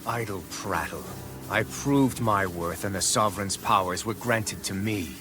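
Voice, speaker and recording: An actor voicing an arrogant, power-hungry villain in a game cutscene, haughty and menacing. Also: male voice, on the low side, a little slow, lightly acted. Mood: cold menace. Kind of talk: dramatic game cutscene dialogue. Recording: loud music over the voice. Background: loud dramatic game music.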